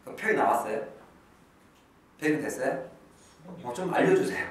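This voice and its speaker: A middle-aged male English teacher giving an exam-prep lecture to high school students, emphatic and lively, dropping to low asides. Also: normal speed, a little hiss or hum. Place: academy classroom. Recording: echoey classroom from a distance.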